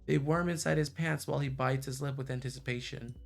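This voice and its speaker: A man's voice: mid-range voice, fairly even intonation, brisk, gravelly. A man livestreaming as he reads a visual novel aloud in a narrating style. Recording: music behind the voice. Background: background music.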